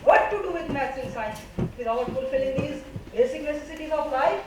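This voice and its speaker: A woman's voice: high voice, expressive, a little fast. An Indian-accented woman playing a carefree, open-hearted, argumentative right brain in an amateur stage play. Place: stage in a hall, far microphone. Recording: very echoey distant stage recording, noisy.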